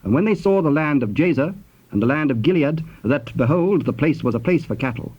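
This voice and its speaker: A man's voice: fairly high pitch, lively intonation, darkish tone, slightly rough. Male Bible narrator on dull tape. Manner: formal, measured. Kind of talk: scripted scripture narration. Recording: dull, noisy old cassette tape.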